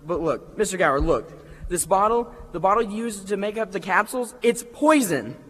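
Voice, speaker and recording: A man's voice: sits high, lively intonation, moderate pace, fairly bright tone. A male actor playing an earnest, loyal young boy in a stage radio play. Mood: frightened, pleading. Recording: hall reverb on a stage.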